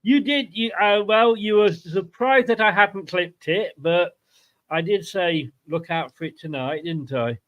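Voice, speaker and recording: High-pitched man hosting casually. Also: measured pace, dull audio. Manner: jokey, teasing, laughs easily. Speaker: host of a football fan channel show. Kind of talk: casual livestream chat.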